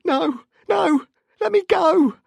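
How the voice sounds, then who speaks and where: A narrator voicing a terrified boy who screams and begs in a high-pitched voice. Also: expressive, slow, gravelly, darkish tone, no room sound, full character voice. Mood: panicked, begging.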